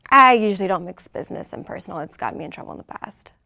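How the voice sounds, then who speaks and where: Lady playing flirty confident business woman, mid pitch, coy n teasing, table read acting. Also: animated, a little fast, creaky, pushed and bright, full character voice.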